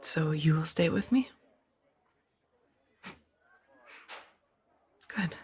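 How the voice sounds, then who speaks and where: A woman playing a gentle, earnest Jedi in a soft-spoken ASMR roleplay, speaking in a hesitant, breathy murmur. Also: low-pitched, animated, normal speed, heavily acted. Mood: surprised, relieved. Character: gentle, earnest young female Jedi, tenderly conflicted.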